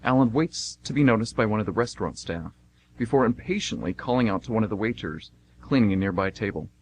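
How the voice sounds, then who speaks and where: A man's voice: lowish voice, expressive, fast, slight background noise, poor audio. A man hosting an amateur radio theater show and reading its scripted narration.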